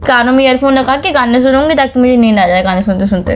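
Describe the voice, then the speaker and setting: A very high, quick young female voice , acted by a woman, as a clingy, suspicious girlfriend in a phone skit. She nags insistently and is easily wounded, over faint background noise. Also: steady tone, lightly acted. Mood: sulky. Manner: nagging, insistent, repeats her questions. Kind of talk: romantic phone-call skit in Hindi.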